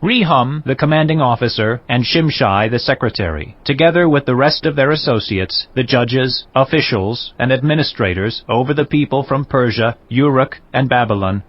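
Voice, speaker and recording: A man's voice: medium pitch, normal speed, hardly any pauses, lightly acted. A man playing a stern Persian provincial official in a dramatized scripture reading, speaking formally and declaiming his accusations. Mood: formal, accusing. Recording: dull audio with loud music behind. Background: music.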